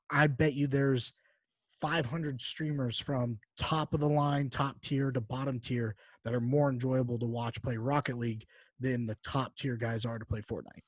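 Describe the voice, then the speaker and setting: A man's voice: medium pitch, no echo. A man hosting a podcast in casual chat, bantering informally with plenty of slang. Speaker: podcast host.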